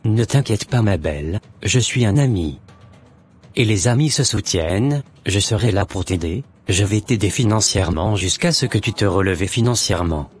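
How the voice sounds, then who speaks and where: A man's voice: on the low side, lively intonation, moderate pace, faint music behind, close to an everyday voice. A man voicing a scheming would-be false pastor in a French cartoon. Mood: falsely friendly. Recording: noisy ambience behind the voice.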